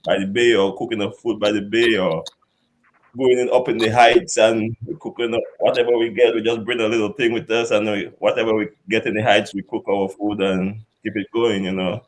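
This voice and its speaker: Dominican man, low voice, relaxed chef guest. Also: fairly quick. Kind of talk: interview answers.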